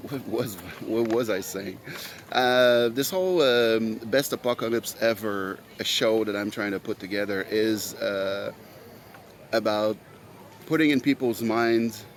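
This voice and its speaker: Low-voiced man, native French speaker. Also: slight background noise. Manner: earnest, rambling with many fillers. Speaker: podcast host. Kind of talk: casual solo monologue.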